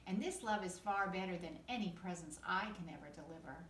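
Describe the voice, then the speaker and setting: A woman's voice: on the low side, a little fast, fairly bright tone, echoey room, recorded from a distance, a little hiss or hum, natural voice. A woman voicing a kindly, reassuring old Santa Claus in a storybook reading. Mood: warm, reassuring.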